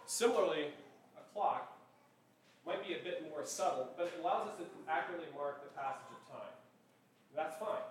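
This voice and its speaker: A man's voice: on the high side, a little hiss or hum. A man teaching a class, speaking thoughtfully, often restarting phrases and checking in with "right?". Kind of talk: classroom lecture.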